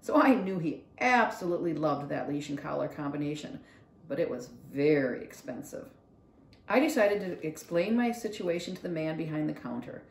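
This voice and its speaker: A woman's voice: sits low, expressive, normal speed, creaky. A woman narrating a children's storybook aloud expressively, as a library read-along reader. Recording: roomy echo.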